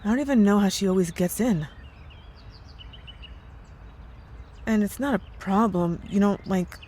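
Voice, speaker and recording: A woman's voice: mid-range voice, brisk, warm tone, a little hiss or hum, close to an everyday voice. A woman playing a concerned coworker in an ASMR roleplay, speaking earnestly, hesitantly and with care. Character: worried, caring married female coworker, hesitant and earnest. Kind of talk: ASMR roleplay audio drama.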